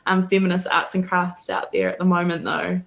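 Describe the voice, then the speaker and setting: A woman's voice: on the low side, flat delivery, a little fast, creaky, a bit bright. A woman from New Zealand with a Kiwi accent, chatting as a guest on a video call, hesitant, with many ums and frequent laughs. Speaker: guest, podcaster. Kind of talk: casual interview chat.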